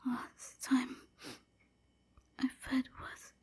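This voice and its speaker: A woman playing a gentle, wounded vampire slave in an intimate ASMR roleplay, whispering in a breathy, halting, submissive way. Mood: wistful, shy. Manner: slow, halting, hushed confiding.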